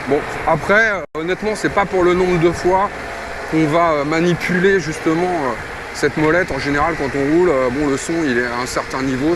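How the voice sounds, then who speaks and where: A man's voice: high-pitched, noisy background. A man giving a casual product review in a colloquial, hesitant way, as if thinking aloud. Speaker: motorcycle gear reviewer.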